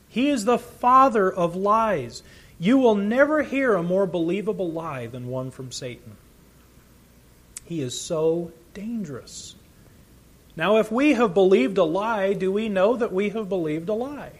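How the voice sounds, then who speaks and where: A man delivering a sermon in a fairly high voice that moves freely up and down. He speaks earnestly, leaning hard on key phrases and then dropping back down. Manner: earnest, emphatic teaching with swells of volume. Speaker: preacher.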